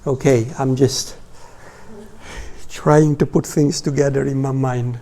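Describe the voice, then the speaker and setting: A man in his forties with a Hungarian accent, talking informally to a small religious class in a hesitant, halting way with pauses. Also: medium pitch, steady tone, warm tone. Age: 40s. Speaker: religious teacher.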